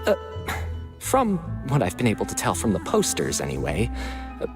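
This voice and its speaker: Low, awkwardly eager youngster. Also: female voice, wildly swooping pitch, moderate pace, heavily acted. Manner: hesitant, eager, rambling. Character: friendly, awkward, enthusiastic young opera fan. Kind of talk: video game voice acting. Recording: game music behind.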